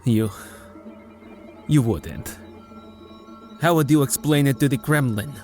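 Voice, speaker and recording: A man's voice: mid-range voice, slow pace, a little mellow, faint music behind, lightly acted. A man playing an arrogant, scheming gamma scientist who speaks haughtily. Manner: haughty and demanding.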